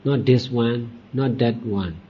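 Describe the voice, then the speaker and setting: A male Buddhist teacher gives guided meditation instruction in short, deliberate phrases with long pauses. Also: medium pitch, slightly rough. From Myanmar. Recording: dull lo-fi recording.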